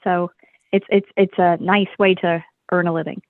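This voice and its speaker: A woman's voice: on the low side, flat delivery, moderate pace, no room sound. A woman from Texas, raised in Germany, answering radio interview questions in a friendly, hesitant way. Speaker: guest historian. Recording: tinny phone line.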